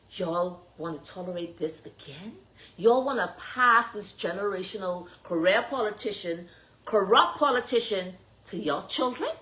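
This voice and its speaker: A woman with a Bahamian accent delivers a fervent political speech at a middling pitch. She is emphatic and confrontational, pressing her listeners with pointed rhetorical questions. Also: a bit bright, low-quality recording. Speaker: political candidate. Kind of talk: impassioned political address.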